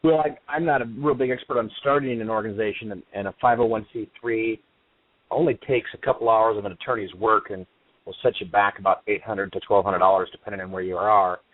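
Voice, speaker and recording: Guest: a low-voiced man, measured and practical, on a dry phone line. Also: steady tone, no room sound. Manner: measured, matter-of-fact advice. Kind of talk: phone interview.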